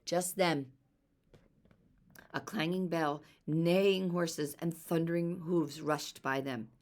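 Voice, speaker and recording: A woman reading a children's story aloud in an expressive storytelling style. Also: deep voice, slow. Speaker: storybook reader.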